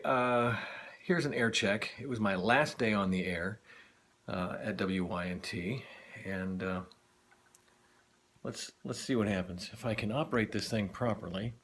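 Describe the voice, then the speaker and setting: Low-voiced middle-aged American man, casual. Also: unhurried, a bit bright, husky. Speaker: vlogger, former radio announcer. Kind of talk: casual talk to camera.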